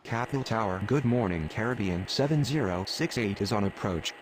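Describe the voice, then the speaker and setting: Low-voiced man as airport radio operator, noisy. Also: animated, brisk, lightly acted. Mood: businesslike. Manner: clipped, formal, procedural. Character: businesslike male airport radio operator in simulator game. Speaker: air traffic radio voice. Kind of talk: scripted aviation radio phraseology. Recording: clear background noise.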